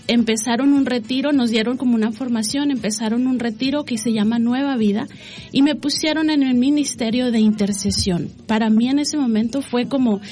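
A woman's voice: on the high side, darkish tone. A middle-aged woman telling her personal testimony in an interview, earnestly, voicing her own remembered thoughts as she goes. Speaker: interview guest, lay evangelization worker.

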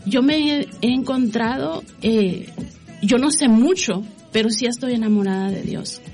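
Higher-voiced woman giving heartfelt testimony. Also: a little slow, a little mellow, soft background music. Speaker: interview guest, lay evangelization worker. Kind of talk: personal testimony in conversation.

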